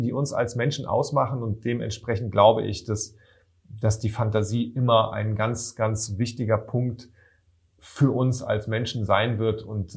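Gravelly, lowish-voiced man answering reflectively. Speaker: children's book author, interviewee.

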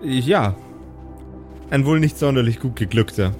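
A man's voice: mid-range voice, animated, measured pace, a little mellow, soft background music, natural voice. A man voicing a kind, slightly melancholic clone woman who speaks politely and a little hesitantly in an improvised audio play. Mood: gentle, resigned.

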